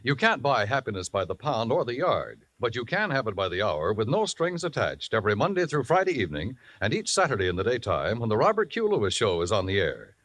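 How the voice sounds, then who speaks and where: Male announcer, mid-pitched, hugely varied intonation, classic fifties radio sell. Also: big pitch swings, a little fast, few pauses, a bit bright. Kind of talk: 1950s radio announcing, scripted. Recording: vintage radio broadcast.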